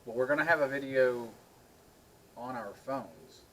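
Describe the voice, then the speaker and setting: A man's voice: medium pitch, a little slow. A middle-aged man talking in a casual, chatty way. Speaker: video co-host. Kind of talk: casual chat. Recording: noisy home recording.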